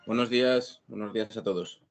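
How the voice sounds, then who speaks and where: A man from Spain with a Castilian accent, explaining as an interview guest in a discursive, halting way, repeating words as he thinks. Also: on the low side, faint music behind. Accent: Spanish (Spain). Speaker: guest author, historian and archaeologist.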